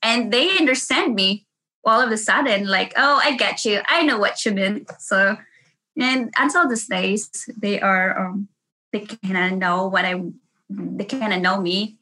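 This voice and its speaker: Woman, Filipino accent, nurse, bright voice, earnest, restarts sentences. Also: mid-range voice, a little slow, poor audio. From Philippines. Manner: earnest, with frequent restarts and laughs. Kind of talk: casual interview.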